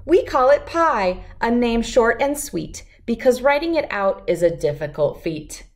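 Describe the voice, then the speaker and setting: Slow, lilting woman reading to children. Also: a little high. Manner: expressive, deliberate, lilting read-aloud delivery. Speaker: children's read-aloud host. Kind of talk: reading a rhyming picture book aloud to children.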